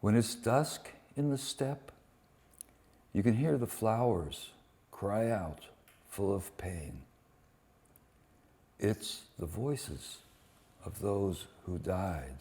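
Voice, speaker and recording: A man giving a dramatic live poetry reading, delivering short phrases deliberately with weighted pauses. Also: lowish voice, warm tone. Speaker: poet reading translated war poems at a poetry event.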